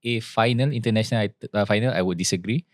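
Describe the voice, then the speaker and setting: A man with a Malaysian English accent argues football tactics on a casual podcast. His voice sits low, and he pushes his points with animated energy. The recording is dry and close. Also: fast talker, no room sound. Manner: argumentative, animated. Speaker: podcast co-host.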